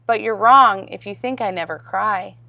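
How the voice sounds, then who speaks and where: A woman voicing a frank, gentle old horse in a storybook, with a measured, candid manner. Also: medium pitch, no echo.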